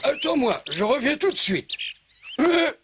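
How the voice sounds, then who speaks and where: A kindly cartoon local fussing over a child. The voice is fairly high and swings widely in pitch, solicitous and expressive, with music in the background. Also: female voice, unhurried, noisy background. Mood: concerned, kindly. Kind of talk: French cartoon dub acting. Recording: music behind the voice.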